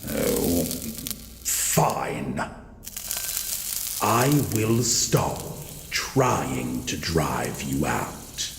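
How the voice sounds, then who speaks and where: A man voicing a grumpy, wary shadow monster in an audio roleplay, speaking in a halting, brooding way as it guards its old house. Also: extremely low pitch, lively intonation, creaky, heavily acted. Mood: resentful, grudging. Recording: echoey hall reverb, faint background noise.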